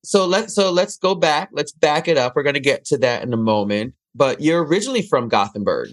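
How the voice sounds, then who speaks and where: A woman's voice: low-pitched, expressive, a little fast. A woman hosting a casual interview, prompting her guest with short questions and laughing along. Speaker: host.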